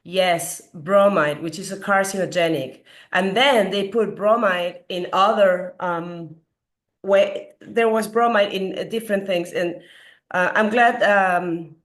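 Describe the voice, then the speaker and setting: Slightly hoarse woman lecturing. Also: medium pitch, slow. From Peru. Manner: earnest, questions the audience with frequent fillers. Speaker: doctor giving a health talk. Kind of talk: explanatory lecture.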